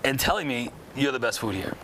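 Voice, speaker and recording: A man sits in a studio as a chef guest, telling his story quickly and excitedly. He piles on fillers as he goes, over a faint room ambience. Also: medium pitch, noisy background. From New York. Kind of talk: casual interview chat.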